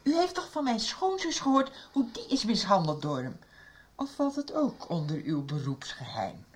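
A woman's voice: medium pitch, very animated, normal speed. An actress in a Dutch radio soap playing a distraught, indignant mother who is confrontational and angry. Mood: angry, urgent. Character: distraught, angry mother demanding her daughter's abuser be arrested.

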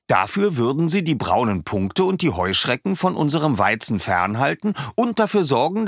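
Man doing an anxious, eager young villager for a storybook; lively, husky. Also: mid-range voice, dry recording, studio quality, voice pushed up. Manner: earnest, eager, worried.

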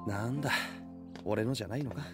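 An actor playing a casual, confident, teasing husband in a Japanese audio drama. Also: male voice, lowish voice, a little fast, music in the background, natural voice. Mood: mock disappointed.

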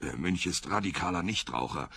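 An actor playing a smug, blustering lobbyist in a radio play, husky and insistent, with hesitant openings. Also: male voice, sits low, expressive, fairly quick, bright, forceful tone, slight background noise, exaggerated voice. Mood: alarmed, insistent. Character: smug male tobacco lobbyist, blustering and alarmist. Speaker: client of a private detective.

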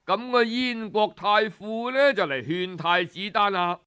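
Male Cantonese storyteller for historical audiobook; high voice, unhurried delivery, conversational oral style. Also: pushed and bright, clean tone. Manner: conversational oral telling with frequent sentence-ending particles. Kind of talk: traditional Cantonese storytelling narration of historical tales.

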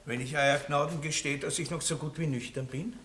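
Ein Schauspieler mit österreichischem Akzent spielt in einem Hörspiel einen großspurigen, lüsternen Landbaron mittleren Alters, der schwadroniert und prahlt. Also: male voice, fairly high pitch, fairly even intonation, fairly quick, a bit bright, a little hiss or hum, natural voice. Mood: leering. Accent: Austrian. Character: boorish lecherous middle-aged country baron. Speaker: radio play actor. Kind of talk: 1960s radio drama acting.

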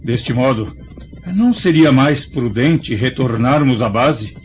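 A man's voice: medium pitch, lively intonation, slow. A man acting as a cautious, war-weary Roman senator and loyal friend, speaking formally in a radio drama. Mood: wary, friendly. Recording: muffled recording with faint music.

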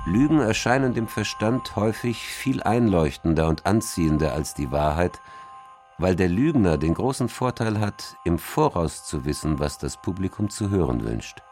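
A man narrating in a measured, formal way, reading out a scripted quotation. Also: low-pitched, very animated, a little mellow. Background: music under the voice.

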